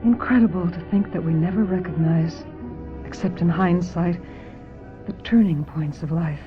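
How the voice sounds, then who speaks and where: An actress in a radio drama playing a gentle, ambitious young star, with measured and dramatic delivery. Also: female voice, lowish voice, music in the background, close to an everyday voice. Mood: wistful, rueful. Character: gentle, ambitious actress looking back, guarded and willing. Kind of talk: radio drama acting with confessional narration. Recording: dull old radio recording.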